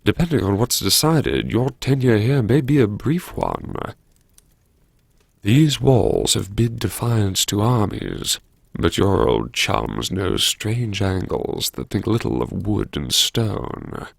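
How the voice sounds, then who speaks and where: Casting: male actor as wry, haunted vice-chancellor for audiobook monologue; low-pitched, expressive swings, brooding self-address. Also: takes it slowly, gravelly, no echo, clean studio sound, exaggerated voice. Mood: pensive, sardonic. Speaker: audiobook performer. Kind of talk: dramatic first-person interior monologue.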